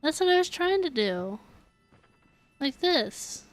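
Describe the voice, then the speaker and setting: A woman's voice: sits high, big pitch swings, slow pace. A woman game streamer giving casual commentary as she plays and reads bits aloud. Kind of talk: casual gameplay commentary, reading quests aloud. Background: game music.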